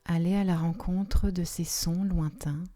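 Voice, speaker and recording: A woman guides a deep relaxation session in a soft, soothing manner. Her voice is fairly low and very dark and mellow. She speaks very slowly, leaving long pauses between phrases. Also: steady tone. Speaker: yoga nidra relaxation guide. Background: soft music.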